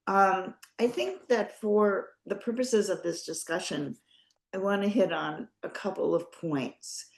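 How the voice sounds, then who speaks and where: A woman with a cold, a bit hoarse, speaking directly and conversationally on a panel. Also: mid-range voice, a little slow, echoey room. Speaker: author and policy analyst. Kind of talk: panel talk. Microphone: video call.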